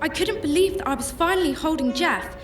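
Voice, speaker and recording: A woman performs an anxious, tender heroine telling her own story in a radio play. Her voice is a little high and earnest, set back from the mic in an echoey space. Music plays underneath. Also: fairly quick, close to an everyday voice. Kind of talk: first-person radio drama narration. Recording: echoey, distant-sounding with music bed.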